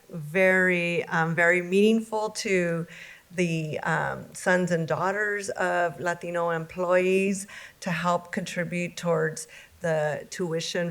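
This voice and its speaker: A woman police commissioner giving remarks at a public meeting, halting, with many fillers. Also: on the low side, fairly bright tone.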